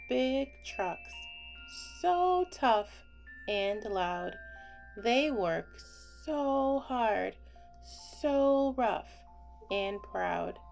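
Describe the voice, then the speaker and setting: Very slow-paced woman reading a bedtime story. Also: medium pitch. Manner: gentle, deliberate phrasing with hushed goodnights. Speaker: children's book read-aloud host. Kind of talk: slow, expressive bedtime picture-book reading.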